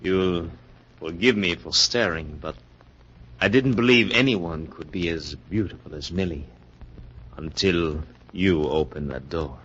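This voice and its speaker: An actor playing a suave, mysterious seducer in 1940s radio suspense, speaking with courtly, deliberate flattery. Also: male voice, sits low, breathy edge, heavily acted. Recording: muffled 1940s radio recording.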